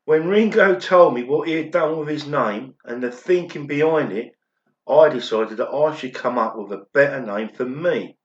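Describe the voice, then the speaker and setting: Amateur actor as self-important pop musician, chatty and earnest, mid-pitched, echoey room sound. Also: male voice, normal speed, close to an everyday voice. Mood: chatty, pleased. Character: self-important, daft male pop star guest. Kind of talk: amateur radio play acting. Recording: dull, roomy home recording.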